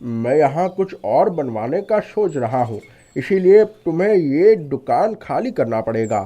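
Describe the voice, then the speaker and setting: A man's voice: fairly high pitch, big pitch swings, unhurried, dark tone. A man voicing a shop landlord in a story, regretful and hesitant yet insistent. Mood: regretful, firm. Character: apologetic but firm male shop landlord. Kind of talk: animated moral story dialogue.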